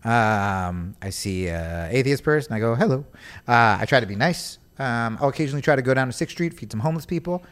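A man's voice: mid-range voice, a little fast, smooth. A young man hosting a podcast, chatting casually and joking, acting out quoted voices. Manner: animated, joking, acts out quotes. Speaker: podcast host. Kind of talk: casual comedic chat.

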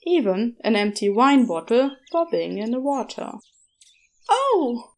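A woman reading a story aloud as narrator, in an unhurried, measured way. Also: a little high, very animated.

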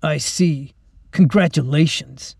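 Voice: mid-range voice, takes it slowly, vocal fry, a little mellow, breathy edge, no echo, studio quality, full character voice. A narrator voicing a small, put-upon schoolboy in an audiobook, exasperated, snappy and world-weary.

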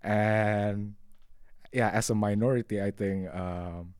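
A young man from Indonesia with an Indonesian accent, speaking haltingly and reflectively in a casual discussion. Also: fairly low pitch, steady tone, darkish tone, clean tone, dry recording, studio quality. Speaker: podcast guest.

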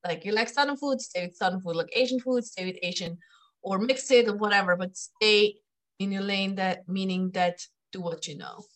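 A woman's voice: mid-range voice, moderate pace. A middle-aged woman from Switzerland with a Swiss accent, chatting as a chef in a casual interview, laughing often and tossing in "you know".

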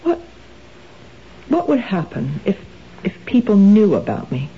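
A woman's voice: medium pitch, sing-song, unhurried, slight background noise. An actress playing a nervous married woman struggling with kleptomania, in a 1970s radio drama. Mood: anxious. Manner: tense, pleading, hesitant. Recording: dull old radio broadcast.

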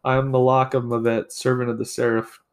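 A man's voice: mid-range voice, flat delivery, brisk, a little hiss or hum, lightly acted. A man voicing a menacing male dragon villain who speaks with mocking amusement.

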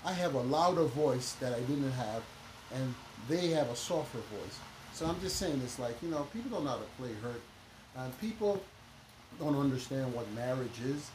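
A man's voice: medium pitch, expressive, normal speed. A man, a minister and educator, talking as a podcast guest in an emphatic, preachy way, full of "you know" fillers. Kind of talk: conversational podcast interview. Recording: echoey room with background noise.

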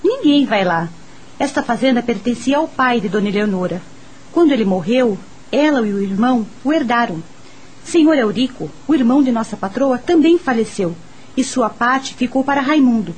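An actress with a Brazilian accent playing a helpful, matter-of-fact mansion servant, speaking in a measured, explanatory way in a radio drama. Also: female voice, a little high, slight background noise, natural voice, rough recording.

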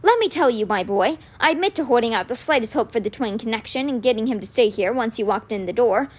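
A woman voicing a kindly, wise old man in an audiobook, patient and measured, with a gentle gravity. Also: on the high side, lively intonation. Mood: gentle, reassuring. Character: kindly, wise old male magician mentor, gently grave.

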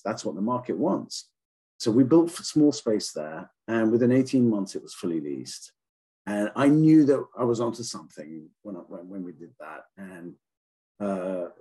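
Husky-voiced man from England. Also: on the low side, mellow, low-quality recording. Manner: halting, many ums and restarts. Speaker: office landlord guest. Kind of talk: casual interview talk. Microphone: call audio.

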